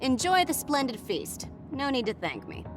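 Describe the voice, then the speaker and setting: A woman voicing a cool, nonchalant young woman in an anime-style game, with a wry, deadpan manner. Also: sits high, moderate pace. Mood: dry, unbothered. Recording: faint music behind the voice.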